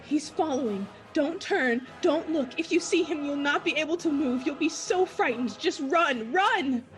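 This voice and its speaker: Woman acting a frantic, very high-voiced frightened young woman. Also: unhurried, faint music behind. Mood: panicked, pleading. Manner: breathless, frantic self-talk in short repeated bursts. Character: terrified young woman fleeing home alone at night. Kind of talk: 1940s radio play acting.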